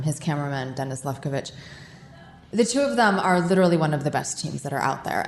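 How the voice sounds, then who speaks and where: Low-voiced woman telling stories in an echoey room. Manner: animated, self-mocking storytelling with laughs. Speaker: guest, war correspondent. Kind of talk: podcast interview storytelling. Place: charity office, roomy. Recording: echoey room with faint noise.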